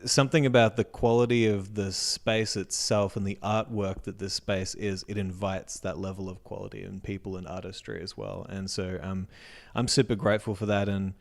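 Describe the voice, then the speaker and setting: An Australian man with a low-set voice talks through his plans as a guest on an interview show. He is earnest and reflective, and his sentences fade out softly at the ends. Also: little pitch movement, moderate pace, gravelly, hardly any pauses. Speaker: guest, music educator. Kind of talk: casual interview talk.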